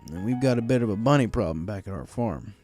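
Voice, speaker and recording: Storybook stallion read by a man: big polite farm horse, unhurried temper, folksy and plainspoken. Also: fairly low pitch, animated, darkish tone, soft background music, close to an everyday voice.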